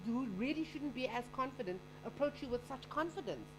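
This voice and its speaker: A wry, self-mocking woman in her forties, speaking with a high voice that swings widely as she talks. A strong electrical hum sits behind her. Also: animated, brisk. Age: 40s. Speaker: guest. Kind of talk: casual chat.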